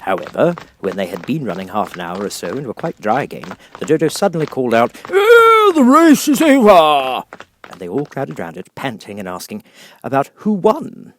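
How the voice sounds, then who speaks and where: A man's voice: on the low side, big pitch swings, fairly quick, breathy edge. A man reading scripted storybook narration as an audiobook narrator.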